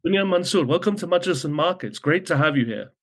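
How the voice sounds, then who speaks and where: A man's voice: on the high side, expressive, quick pace. A man hosting a podcast, introducing a guest and asking questions in a friendly way, with casual fillers. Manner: friendly, loose with fillers like "like" and "you know". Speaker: podcast host.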